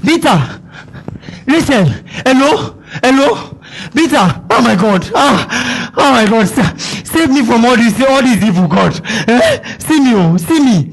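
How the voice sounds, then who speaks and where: A Nigerian man with a high voice pleads and stammers in a stage comedy. His delivery is frantic and breathless with panic, words tumbling and repeating. He is recorded by a far camera on a small curtained stage. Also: lively intonation, measured pace, husky. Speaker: stage actor playing a corrupt father.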